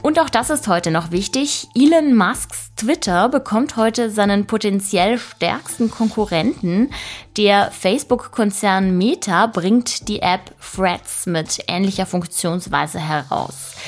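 A woman from Italy hosting a news podcast, presenting scripted items and interview questions. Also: mid-range voice.

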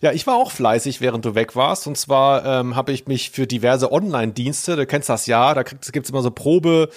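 A man's voice: mid-range voice, moderate pace. A man hosting a podcast in casual, joking chat, with an irreverent, slangy way of talking. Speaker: podcast host.

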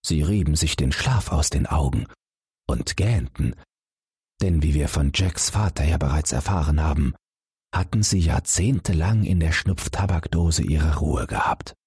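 Very deep, slow bedtime storyteller man. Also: slightly rough, dry recording, studio quality. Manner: calm, gently addressing children. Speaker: audiobook narrator. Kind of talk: bedtime fairy tale reading.

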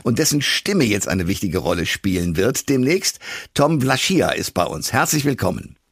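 Male interview presenter, conversational, rough fry in the voice, tight dry sound. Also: fairly low pitch, sing-song, moderate pace, dry recording. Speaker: radio and podcast host.

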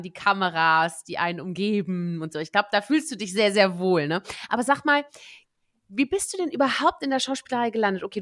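A woman's voice: mid-range voice, fairly bright tone, no echo, clean studio sound. A woman hosting a podcast interview, chatty and affirming as she poses her questions. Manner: chatty, frequent affirming backchannels. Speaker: podcast host. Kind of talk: casual interview.

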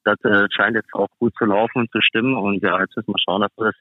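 Low-voiced man on a phone-like line. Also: little pitch movement, fast, no room sound. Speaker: guest, professional ice hockey player. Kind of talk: casual interview answers. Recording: thin phone-like band-limited sound.

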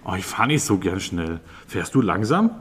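An actor playing a traveler in an improvised children's radio play, talking in a questioning, deliberating way with drawn-out hesitations. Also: deep voice, very animated, a little hiss or hum. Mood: curious, deliberating.

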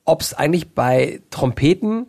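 A man with a slightly high voice hosts a radio talk show. He speaks informally and chattily, moving between remarks and questions in a conversational style. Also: lively intonation, unhurried, creaky, a little mellow, breathy edge. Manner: chatty, informal, addresses guest familiarly. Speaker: radio interview host. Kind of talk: casual conversational radio interview.

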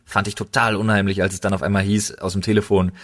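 A man with a fairly low voice and a German accent co-hosts a casual chat podcast. He talks in loose, colloquial language and leans on repeated intensifiers to stress his enthusiasm. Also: level tone, fast talker. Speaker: podcast co-host.

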